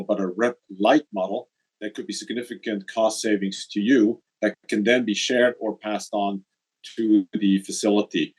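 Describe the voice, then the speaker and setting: A man on a webinar panel lays out a cost point step by step. His voice sits on the low side, and his manner is careful and explanatory. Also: low-quality recording. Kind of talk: panel discussion.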